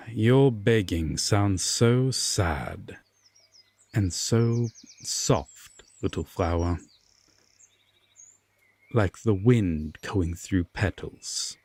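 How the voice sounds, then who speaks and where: A man playing a solemn, regretful Fae king with hidden tenderness, speaking formally and with measured pauses in an audio roleplay drama. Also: low-pitched, lively intonation, a little mellow, breathy edge, no room sound, full character voice. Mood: sorrowful, resigned. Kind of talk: slow audio roleplay drama addressed to the listener.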